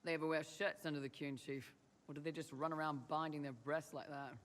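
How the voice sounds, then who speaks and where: A man's voice: a little high, fairly even intonation, a little fast, fairly bright tone, in a large hall, natural voice. An actor playing a young male mercenary lieutenant in a video game, teasing with dry, sardonic wit. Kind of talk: video game character dialogue.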